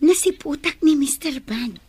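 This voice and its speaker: Teasing lovestruck girl friend, ilocano radio drama, high voice, takes her time. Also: darkish tone, dry recording. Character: teasing, lovestruck young woman, best friend.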